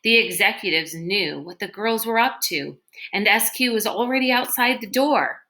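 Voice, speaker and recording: A woman reading a story aloud in an expressive narrating style. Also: medium pitch, moderate pace. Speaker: storybook reader.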